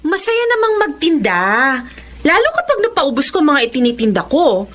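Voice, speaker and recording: A woman's voice: sits high, big pitch swings, normal speed. A woman playing a humble, earnest breadwinner daughter in a Filipino radio drama. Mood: eager, teasing. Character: Jennifer, humble laid-off breadwinner daughter turned online seller.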